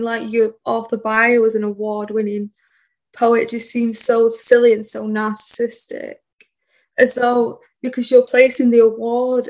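A woman poet being interviewed in a casual chat, shy and soft-spoken, rambling a little as she talks. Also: on the high side, poor audio. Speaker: poet guest.

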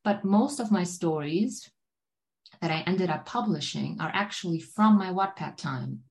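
A woman's voice: on the low side, expressive, unhurried, gravelly, darkish tone. Rambling woman. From Germany. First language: German. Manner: rambling, filler-heavy storytelling with many "like"s. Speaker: guest author. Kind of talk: casual podcast chat.

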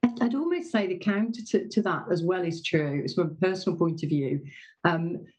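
A woman's voice: on the low side, animated, moderate pace, darkish tone, husky. Woman with a Midlands accent. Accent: Midlands English. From English Midlands. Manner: friendly, self-deprecating. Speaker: utilities operations manager. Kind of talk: podcast guest discussion.

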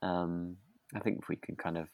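A man co-hosting a podcast in relaxed discussion, feeling his way through sentences with halting, hesitant phrasing. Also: sits low, gravelly, no echo. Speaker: podcast co-host. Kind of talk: relaxed discussion of a radio drama.

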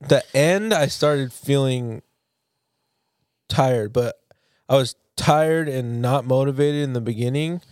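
A man's voice: mid-range voice, slow pace, darkish tone, clear, resonant tone, no echo, studio quality. A young man chatting casually as a podcast co-host in an informal, slangy and blunt way. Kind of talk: casual chat between friends.

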